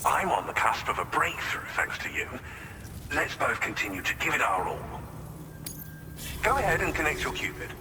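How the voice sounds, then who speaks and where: A man's voice: fairly high pitch, sing-song, normal speed, pauses often, big-room sound, noisy background. An actor playing a courteous, eager male researcher in a video game, speaking in formal, articulate phrases. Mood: eager, grateful. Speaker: researcher character. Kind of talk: video game cutscene dialogue.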